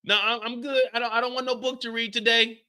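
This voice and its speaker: A man voicing an angry young woman bent on revenge, talking high and blunt. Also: flat delivery, fast talker, pushed and bright, smooth, dry recording, full character voice. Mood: defiant.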